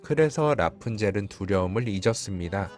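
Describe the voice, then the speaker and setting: A man's voice: fairly low pitch, expressive, fairly quick, a little mellow, faint music behind. A man reading a fairy-tale storybook aloud as the narrator, in scripted storytelling style.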